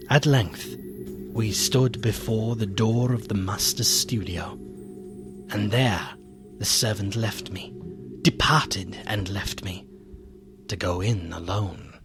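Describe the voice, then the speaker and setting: Slow, solemn gothic gentleman narrator. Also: lowish voice. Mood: foreboding. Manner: literary, solemn, theatrical. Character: melancholy, fearful gentleman narrator, loyal old friend. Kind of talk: dramatic gothic radio narration.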